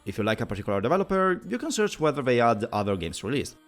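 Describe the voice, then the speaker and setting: A man's voice: medium pitch, sing-song, rapid-fire, soft background music. A man hosting a tutorial, narrating with playful, mock-formal phrasing. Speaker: video host.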